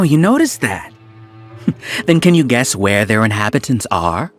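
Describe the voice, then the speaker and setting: An actor playing a smug male scholar who runs a hive mind, talking in a calm, condescending lecture. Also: on the high side. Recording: faint game music behind.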